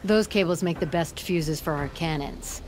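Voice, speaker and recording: Woman playing a low-voiced, tough forge leader. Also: normal speed, a little hiss or hum, close to an everyday voice. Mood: firm, earnest. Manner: firm, blunt, folksy. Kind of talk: video game voice acting. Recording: game music behind the voice.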